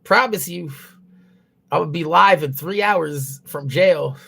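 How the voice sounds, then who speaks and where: A man's voice: a little high, slow, creaky, sharp tone, breathy edge. Rambling, foul-mouthed male streamer. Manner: loose, rambling, heavy swearing, laughing at own jokes. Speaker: livestreamer. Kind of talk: casual profane stream chatter. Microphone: mobile phone.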